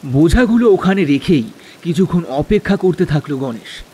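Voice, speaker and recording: A man's voice: on the high side, lively intonation, normal speed, mellow, breathy edge. A man narrating a scripted village ghost story in a dramatic storytelling style.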